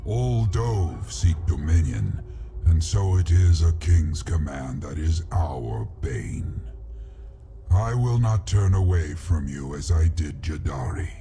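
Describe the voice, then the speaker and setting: An actor playing an ancient, weary male dragon in a fantasy video game, solemn and portentous, with a gravelly rumble. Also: expressive, warm tone, full character voice. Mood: solemn resolve. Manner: slow, portentous, archaic phrasing.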